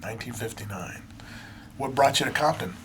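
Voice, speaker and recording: A man hosting a podcast interview, asking relaxed, teasing questions. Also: mid-range voice, normal speed, a little hiss or hum. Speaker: podcast host.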